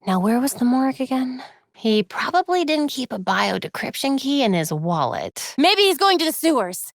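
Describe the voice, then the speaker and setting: A woman's voice: sits high, sing-song, gravelly, no echo, clean studio sound, heavily acted. A woman playing a brainy, determined young vigilante heroine in a video game, wry, earnest and conversational. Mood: focused. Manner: conversational, quick-witted, earnest, talking to herself and teammates.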